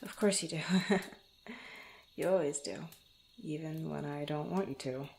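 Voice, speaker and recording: A woman's voice: low-pitched, unhurried, gravelly, darkish tone, slight background noise. A woman playing a guarded but fond young female rogue in an intimate roleplay, bantering playfully and stammering when flustered. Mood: hesitant confiding. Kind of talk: intimate ASMR roleplay, one-sided conversation.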